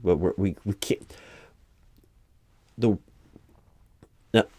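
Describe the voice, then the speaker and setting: Man acting as officious, halting low-voiced recruiting manager. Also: a little mellow, slightly rough, exaggerated voice. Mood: exasperated, insistent. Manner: halting, repetitive, officious, over-clarifying. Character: earnest, flustered corporate recruiting manager interviewing a candidate. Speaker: job interviewer. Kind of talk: one-sided ASMR interview roleplay, spoken aloud.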